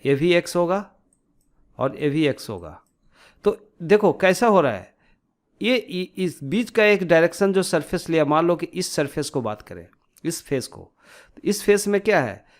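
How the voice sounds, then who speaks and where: A man giving an informal step-by-step tutorial, explaining by asking and answering his own questions and mixing in English technical terms. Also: a little high. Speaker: tutorial instructor.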